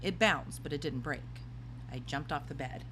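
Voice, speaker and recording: A woman reading a children's storybook aloud in an expressive narrating style. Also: deep voice, normal speed, slight background noise, low-quality recording. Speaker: storybook reader.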